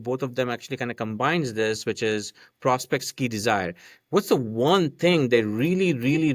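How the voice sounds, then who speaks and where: A man's voice: medium pitch, dry recording, clean studio sound. A man whose first language is not English, a podcast guest explaining a marketing method step by step and often checking in with "right?". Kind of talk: casual explanatory chat.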